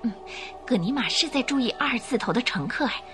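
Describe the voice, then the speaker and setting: A woman playing a tender, excitable, curious young lady passenger in an emotive radio drama style. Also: a little high, a little fast, music in the background, natural voice. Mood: excited, intent. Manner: emotive, expressive. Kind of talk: Mandarin radio drama acting.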